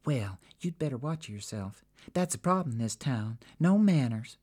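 A man's voice: mid-range voice, animated, normal speed, a little mellow, pitched above the performer's own voice, natural voice. Give me a man voicing a brash, nosy Texan woman. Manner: blunt, nosy, swearing. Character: brash loud-mouthed woman, Texan living in a big city.

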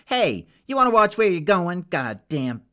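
A man's voice: a little high, big pitch swings, normal speed, darkish tone, pitched above the performer's own voice. Give me a man voicing a brash, nosy Texan woman. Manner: blunt, nosy, swearing. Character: brash loud-mouthed woman, Texan living in a big city.

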